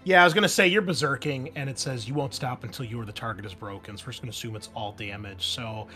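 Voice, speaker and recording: A man's voice: mid-range voice, fast, sharp tone. A man narrating a tabletop roleplaying game as game master, conversational and improvising rulings as he goes.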